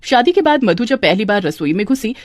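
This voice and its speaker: A woman's voice: mid-range voice, very animated, fairly quick, no room sound, clean studio sound. Female narrator for cartoon moral story, scripted read, mid-range voice.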